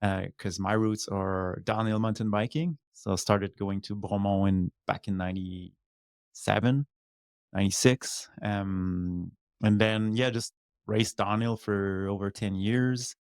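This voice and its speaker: A man's voice: on the low side, fairly even intonation, measured pace, a little mellow, smooth, no echo, clean studio sound. A man from Quebec, a native French speaker with a Quebec French accent, casually telling his own story as a podcast guest. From Quebec, Canada. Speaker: podcast guest, former downhill mountain bike racer.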